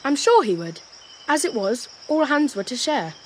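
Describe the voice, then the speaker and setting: Young cabin boy, voiced by a woman, earnest, well-spoken, lively high pitch, faint ambient noise. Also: moderate pace. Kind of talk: audio drama acting.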